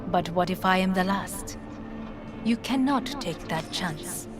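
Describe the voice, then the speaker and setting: An actress playing a composed, calculating corporate heiress in a video game, with formal, measured, commanding speech. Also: female voice, fairly low pitch, lively intonation. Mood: insistent, cool. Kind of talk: video game dialogue acting. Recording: music behind the voice.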